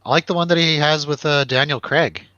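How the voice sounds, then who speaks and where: A man's voice: fairly high pitch, a little fast, sharp tone, clear, resonant tone, dry recording. A man hosting a casual film review chat, talking in a loose, hesitant, easygoing way over a slightly lo-fi recording. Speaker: host of a film review show.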